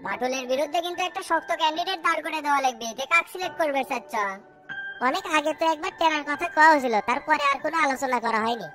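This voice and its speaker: A scheming small-town cartoon politico man, acted out with a pitched-up voice, very high and fast. He blusters boastfully, with music behind him. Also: lightly acted. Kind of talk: cartoon comedy dialogue.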